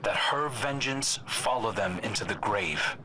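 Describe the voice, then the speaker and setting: An actor playing an earnest, troubled scout in a video game cutscene, thoughtful and wry like a campfire storyteller. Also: male voice, on the low side, a little slow, bright, forceful tone, a little hiss or hum, low-quality recording. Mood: intrigued storytelling.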